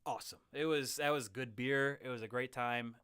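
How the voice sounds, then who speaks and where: A young man hosting a casual podcast, easygoing and quick to laugh. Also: medium pitch, fairly even intonation, fairly bright tone, clear, resonant tone, dry recording. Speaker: podcast host.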